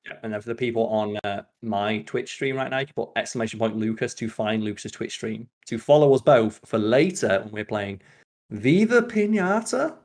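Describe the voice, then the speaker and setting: A man's voice: fairly low pitch, expressive, normal speed, darkish tone. A man hosting a podcast in casual chat, with a sweary, opinionated way of talking. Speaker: podcast host.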